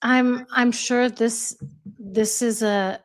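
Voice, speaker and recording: A chatty American woman hosting a livestream in a relaxed, conversational way. Her voice sits a little higher than most women's. She reads comments aloud and laughs easily between thoughts. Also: slow pace. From United States. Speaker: livestream host. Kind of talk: casual livestream chat reading viewer comments.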